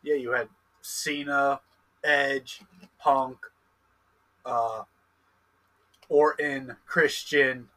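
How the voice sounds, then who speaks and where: A man's voice: medium pitch, steady tone, drawn-out words, pauses often, sharp tone, low-quality recording. A man in his early twenties co-hosting a podcast, asking questions eagerly and stumbling over restarts. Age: 20s. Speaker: podcast co-host, wrestler. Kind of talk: casual podcast interview.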